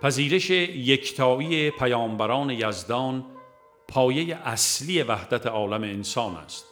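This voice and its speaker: A man narrates a religious audiobook in a measured, formal reading manner. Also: mid-range voice, lively intonation, a bit bright, soft background music. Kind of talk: scripted narration of a religious book.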